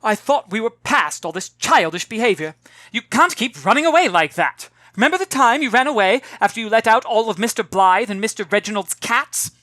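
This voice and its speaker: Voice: mid-range voice, expressive, a little fast, pushed and bright, no echo, pitched above the performer's own voice. A worried storybook mother, as a narrator acts her out, fussing and emphatic. She scolds with exasperation in a raised voice, recorded very dry and close. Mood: scolding.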